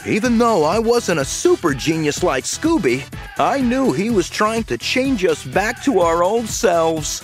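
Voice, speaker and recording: Man, audiobook narration, first-person, animated, unhurried. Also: sits high, soft background music. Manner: lively storytelling, slow and animated.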